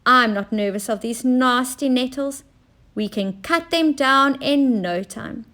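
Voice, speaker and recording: Woman playing a high-pitched, haughty storybook queen. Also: a little slow, bright, forceful tone. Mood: haughty, dismissive. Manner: snorting, indignant, dramatic. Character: haughty, quarrelsome queen, indignant and shrieking when hurt.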